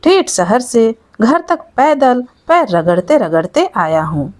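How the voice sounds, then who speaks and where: A woman's voice: on the high side, expressive, takes it slowly, a little mellow, no room sound. A woman storyteller voicing a humble, aggrieved old peasant man with a plaintive, simple manner in a moral tale. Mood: bitter, angry.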